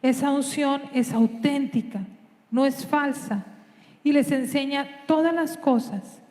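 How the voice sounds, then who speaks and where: A woman reading a scripture passage aloud in church, slow and measured. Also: high voice, warm tone. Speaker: scripture reader. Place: church hall. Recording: echoey church hall.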